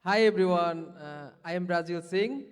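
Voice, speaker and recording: A man's voice: high voice, clear, resonant tone. A man from Bangladesh, a new board director, introducing himself carefully. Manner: careful, slightly halting. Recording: hall reverb.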